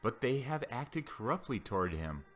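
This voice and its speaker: A man giving a solemn scripture reading as a Bible reader, with deliberate, declamatory phrasing. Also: medium pitch, sing-song, fairly bright tone. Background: background music.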